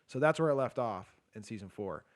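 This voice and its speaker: A man's voice: lively intonation, fairly quick. A young man co-hosting a casual podcast, rambling through a story with lots of "like" and swearing, a bit short of breath. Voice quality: self-described low, quiet voice. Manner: rambling retelling, heavy filler "like", swearing. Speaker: podcast co-host. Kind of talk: casual chat.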